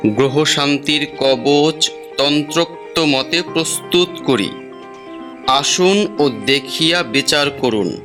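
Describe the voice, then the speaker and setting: A man playing a skeptical, wry friend in an audio drama, dry and doubting. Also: fairly high pitch. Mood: reading aloud. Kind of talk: Bengali audio drama acting.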